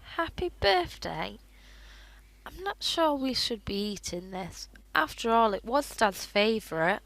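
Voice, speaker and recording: Wartime girl, played by a woman: earnest, youthful, high-pitched and animated, recorded dry. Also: measured pace, gravelly, no room sound, exaggerated voice, low-quality recording. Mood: sad. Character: hopeful, sensitive young girl in wartime Britain. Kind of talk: radio play acting.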